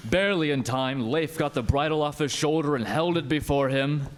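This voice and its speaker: A man narrating a scripted radio play tale as an expressive, dramatic storyteller. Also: a little high, normal speed.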